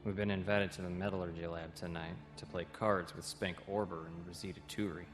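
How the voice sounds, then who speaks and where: A man's voice: low-pitched, flat delivery, brisk, close to an everyday voice. An actor playing a wary young male cadet who explains things in an audio comic drama. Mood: guarded. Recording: roomy echo, music behind.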